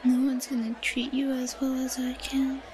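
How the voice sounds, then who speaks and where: A woman playing a shy, obsessive, lovesick yandere schoolgirl in an intimate roleplay audio, breathy, whispery and halting. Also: high voice, monotone, over music, a crowd in the background, natural voice. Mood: giddy obsession. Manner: giggly, halting. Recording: noisy, crowded ambient background.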